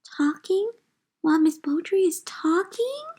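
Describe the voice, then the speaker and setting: Woman acting high-pitched exasperated little storybook girl. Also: expressive, a little slow, warm tone. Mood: indignant. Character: exasperated, embarrassed little girl narrating her mom's misbehavior. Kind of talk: animated storybook read-aloud.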